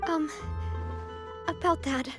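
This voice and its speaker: Gracious young female boss, highish voice, composed, articulate, anime game dialogue, faint music behind. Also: fairly even intonation, slow. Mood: somber. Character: poised, kindly young lady boss of an organization. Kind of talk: anime-style game voice acting.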